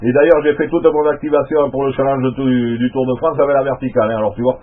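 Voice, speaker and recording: A man's voice: mid-range voice, fairly even intonation, fast. A man chatting casually as an amateur radio operator, rambling along with repeated tag words, his voice thin and narrow-band. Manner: rambling, repeats filler tags. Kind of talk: casual radio contact chat.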